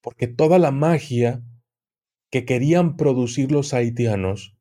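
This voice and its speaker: A man speaking slowly and deliberately, his pitch sitting in an ordinary middle range. He pauses often and fills gaps with hesitations as he explains. His tone is that of a podcast guest laying out a subject. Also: warm tone. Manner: slow, measured explaining with many hesitations. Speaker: guest occult expert. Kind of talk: podcast conversation.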